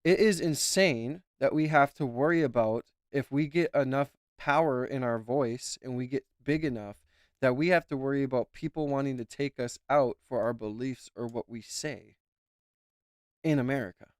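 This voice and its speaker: Smooth-voiced man ranting casually. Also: medium pitch, normal speed, no room sound, clean studio sound. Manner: rambling, emphatic, repeats himself. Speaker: YouTube political commentator. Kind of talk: casual reaction commentary.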